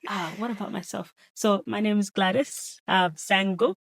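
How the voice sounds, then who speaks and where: A woman in her thirties from Zimbabwe, a guest reflecting on her upbringing with a relaxed, thoughtful manner. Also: mid-range voice, normal speed. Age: 30s. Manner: reflective, many fillers and soft laughs. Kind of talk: casual chat.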